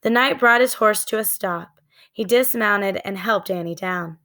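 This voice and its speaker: Slow-paced woman reading a children's story. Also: medium pitch, pushed and bright. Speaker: read-aloud storyteller. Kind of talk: scripted children's book narration.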